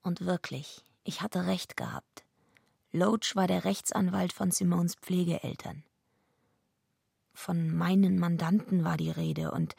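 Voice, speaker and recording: An actress playing a blunt, defiant, streetwise young female wrestler with a husky, gravelly voice in a radio drama. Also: fairly low pitch, a little mellow, dry recording, studio quality, full character voice. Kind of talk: radio drama acting and first-person narration.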